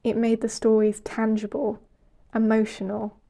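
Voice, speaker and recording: A young woman hosting a podcast, reading a reflective scripted closing in a measured, earnest way. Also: on the high side, completely flat delivery, mellow. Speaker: podcast host and project researcher.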